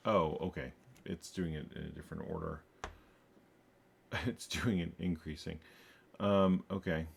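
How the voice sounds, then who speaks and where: A man programming a game, thinking aloud while he codes, muttering to himself in halting, self-correcting bits. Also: low-pitched, steady tone, vocal fry, a little hiss or hum.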